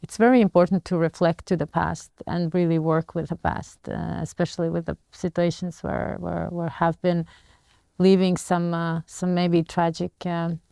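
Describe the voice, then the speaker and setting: A woman in her forties with a Latvian accent, speaking as an interview guest in a measured, reflective and hesitant way. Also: fairly low pitch, level tone, vocal fry, mellow, no room sound. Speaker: interview guest, business leader. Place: furnished indoor lounge studio.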